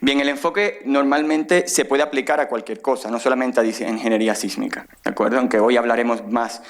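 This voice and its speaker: A man's voice: mid-range voice, brisk. A man from the Dominican Republic giving an academic lecture as a guest professor, explaining things in an informal, conversational way.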